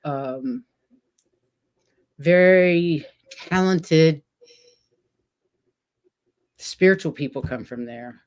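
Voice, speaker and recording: A woman hosting a casual livestream chat, talking at an unhurried pace with frequent fillers and soft laughs. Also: deep voice. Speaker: host.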